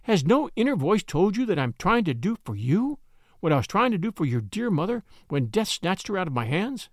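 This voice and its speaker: Fervent pious spinster as a man puts her on, pleading, lifted pitch, swinging delivery, dry close sound. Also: big pitch swings, normal speed, fairly bright tone, dry recording. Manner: impassioned pleading.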